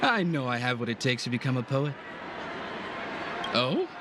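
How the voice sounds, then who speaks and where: A man's voice: mid-range voice, sing-song, normal speed, very noisy, natural voice. A man playing a tipsy, rambling, giggly drunkard with poetic pretensions in a video game. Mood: tipsy, wistful. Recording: game music behind.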